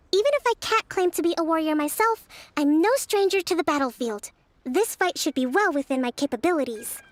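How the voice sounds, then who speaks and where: A high, feminine game voice, playing a young strategist who lays things out calmly and precisely. She sounds composed and articulate, with soft music playing behind her. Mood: composed, reassuring. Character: calm, clever young female military strategist. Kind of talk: scripted video game dialogue. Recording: background music under the voice.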